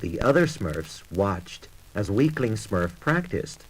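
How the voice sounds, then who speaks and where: A man's voice: lowish voice, sing-song, takes it slowly, husky, rough recording. A man reading scripted narration for a children's read-along storybook record. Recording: children's record with music behind. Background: music.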